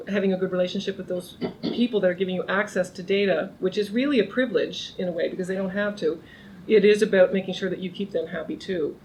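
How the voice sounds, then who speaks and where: A woman's voice: on the low side, a little mellow, poor audio. A middle-aged woman lectures informally at a lunch seminar, thinking aloud with frequent restarts. She projects a big voice across the room. A faint background noise sits under her speech. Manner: conversational, frequent restarts, raises her voice to be heard. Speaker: criminology professor. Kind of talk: informal academic talk to a small group.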